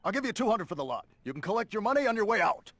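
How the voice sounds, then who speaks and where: A man voicing a gruff, impatient, stingy middle-aged newspaper boss in a video game, brusque and dismissive. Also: high voice, very animated, racing, fairly bright tone, slight background noise.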